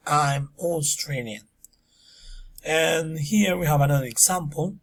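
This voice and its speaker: Male English teacher, husky tone, unhurried delivery. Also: on the high side. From Peru. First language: Spanish. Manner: slow, drawn-out words for teaching. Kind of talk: recorded online English lesson.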